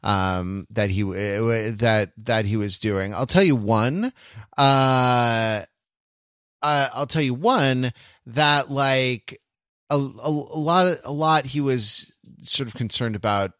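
A man co-hosting a podcast, discussing literature casually in a halting way, often repeating and interrupting himself. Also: medium pitch, fairly bright tone, no echo, studio quality. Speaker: podcast co-host.

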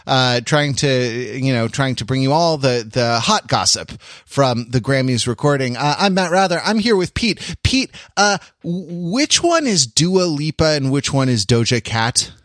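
A man's voice: mid-range voice, sing-song, a little slow, a bit bright, dry recording, clean studio sound. An American man hosting a podcast with casual comedic banter, rambling and playful as he goes. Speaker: podcast host.

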